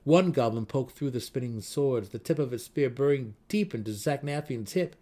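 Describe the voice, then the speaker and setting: A man's voice: mid-range voice, expressive, normal speed, warm tone. Man narrating a dramatic fantasy audiobook.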